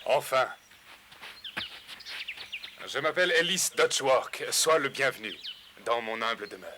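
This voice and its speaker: Noble rebel leader in a cartoon, strong bright voice, faint noise behind. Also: male voice, medium pitch, expressive, measured pace. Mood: solemn, welcoming. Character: noble, vengeful outlaw leader, reassuring and resolute. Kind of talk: French cartoon dubbing.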